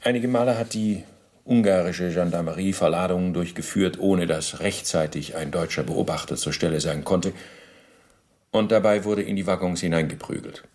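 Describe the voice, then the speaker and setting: An actor playing a bureaucratic, self-justifying Nazi war criminal under interrogation in a radio play, speaking formally and officiously. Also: male voice, deep voice, normal speed, darkish tone, close to an everyday voice. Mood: matter-of-fact. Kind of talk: German radio docudrama interrogation acting.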